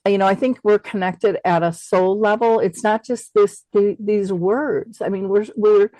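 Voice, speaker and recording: A woman's voice: medium pitch, fairly even intonation, measured pace, dark tone, no room sound, low-quality recording. A middle-aged or older woman hosting an interview, reflecting conversationally and often repeating words as she thinks aloud. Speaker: interview host.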